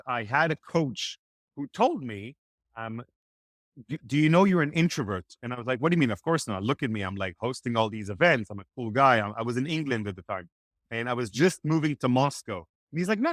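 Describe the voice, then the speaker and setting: A man whose first language is Hebrew, giving energetic, motivational networking advice as a podcast guest. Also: on the high side, dry recording, studio quality. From Israel. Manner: energetic, motivational, acts out quoted dialogue. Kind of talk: animated podcast interview.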